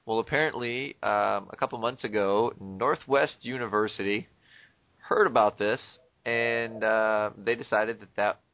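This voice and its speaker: Low-voiced chatty male podcast host. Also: fairly even intonation, measured pace, no room sound, muffled recording. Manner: chatty, frequent fillers, amused asides. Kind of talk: casual podcast discussion.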